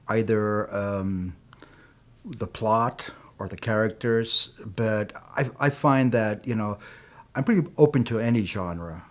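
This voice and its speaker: A middle-aged man from Peru, a native Spanish speaker, speaks about his work as a film producer in a low, unhurried voice. He talks in measured, reflective stretches and often adds "you know" as he works through a thought. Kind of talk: interview answers.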